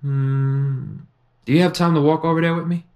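An actor playing a confident, ambitious nineteen-year-old estate aide in a modern audio drama, speaking respectfully and earnestly. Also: male voice, a little high, fairly even intonation, moderate pace, darkish tone, clear, resonant tone, lightly acted. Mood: puzzled. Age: young adult. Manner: respectful, earnest, self-assured.

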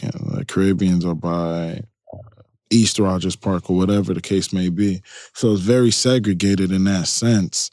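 A man's voice: low voice, a little mellow, no room sound, clean studio sound. A man from Chicago who spent part of his upbringing in Nigeria, speaking conversationally and emphatically as an interview guest, explaining as he goes. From Chicago, United States, partly raised in Nigeria.

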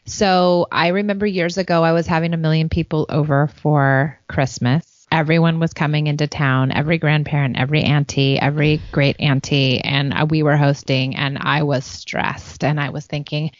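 Woman co-host, low voice, animated storyteller; muffled, dry recording. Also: moderate pace, few pauses, dry recording. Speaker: podcast co-host. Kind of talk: casual chat.

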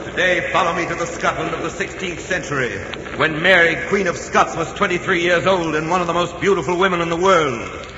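Actor as grand spirit narrator, heavily reverberant. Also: male voice, on the high side, fairly quick, lo-fi sound, close to an everyday voice. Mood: grand, stirring. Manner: declamatory, solemn, theatrical. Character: Adventure personified, a grand, romantic male spirit narrator. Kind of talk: 1940s radio dramatic narration. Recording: noisy old radio, long reverberant hall echo.

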